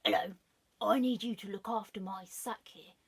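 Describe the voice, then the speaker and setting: A woman voicing a sly, scheming male fox in a children's storybook reading, speaking low and hushed. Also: moderate pace, slightly rough.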